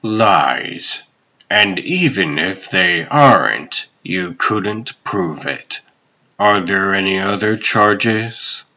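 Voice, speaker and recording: A man's voice: sits low, lively intonation, extremely slow, bright, forceful tone, dull audio, heavily acted. A man voicing a smug, sardonic villain baron in a comic dub, drawling and dismissive in a theatrical way. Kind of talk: comic dub character acting.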